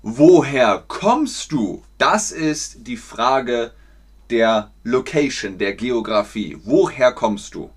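A man teaching German in a live online lesson, encouraging and clearly articulated. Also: medium pitch.

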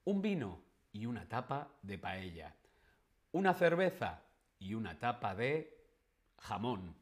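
Slow, patient Spanish teacher man. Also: lowish voice, very animated. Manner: slow, clear, repeats words for learners; reads viewer chat questions aloud. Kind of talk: live-streamed language lesson.

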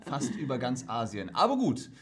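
Jokey animated man in his thirties. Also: mid-range voice, normal speed. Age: 30s. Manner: jokey, animated, laughs often. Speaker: streamer and quiz co-host. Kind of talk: playful casual chat.

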